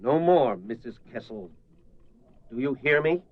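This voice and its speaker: A man's voice: mid-range voice, lively intonation, measured pace, a little hiss or hum, lightly acted. An actor playing a stern, domineering middle-aged father with a clipped, commanding manner in a radio drama. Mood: angry, commanding. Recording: dull lo-fi radio recording.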